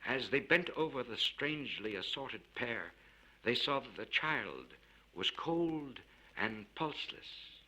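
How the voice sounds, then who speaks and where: A man narrates a classic story with a forceful, very bright voice. His pitch moves widely as he shapes each phrase in a measured, theatrical manner. It has the sound of a spoken-word record from the vinyl era. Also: poor audio. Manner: measured, expressive, theatrical delivery. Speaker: audiobook narrator. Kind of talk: dramatic literary story reading.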